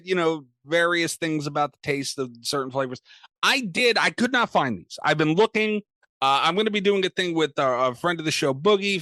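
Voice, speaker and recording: A man's voice: a little high, pushed and bright, no echo. A man co-hosting a podcast in casual banter, blunt, profane and teasing. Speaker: podcast co-host.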